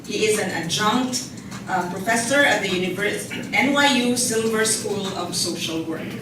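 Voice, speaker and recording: A woman's voice: mid-range voice, moderate pace. A woman hosting a program, formally reading out prepared introductions at an indoor event. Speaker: program host and journalist. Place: indoor event space at a book launch. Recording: echoey hall from far off, noisy.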